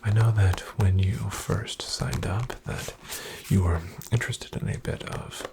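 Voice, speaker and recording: A man playing a polite, reassuring financial advisor in an ASMR roleplay, in a breathy half-whisper with gravelly fry and a slow, soothing, formal delivery. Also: low-pitched, steady tone. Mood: calm, businesslike. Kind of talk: ASMR, soft-spoken roleplay.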